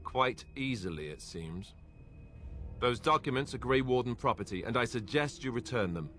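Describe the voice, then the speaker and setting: A man's voice: medium pitch, lively intonation, moderate pace, fairly bright tone, a little hiss or hum, lightly acted. A man voicing a wry young warrior with dry, sarcastic humor in a video game.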